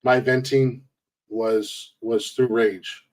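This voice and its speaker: Male podcast host, 40s, mid-pitched, rambling, self-amused. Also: flat delivery, takes it slowly. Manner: rambling, restarts often, laughs at own jokes. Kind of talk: casual podcast chat.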